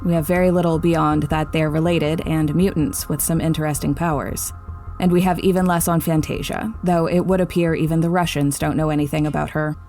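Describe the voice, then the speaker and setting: An actress playing a brisk female spy agency commander who briefs in a businesslike way. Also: deep voice, fairly even intonation, dark tone, soft background music.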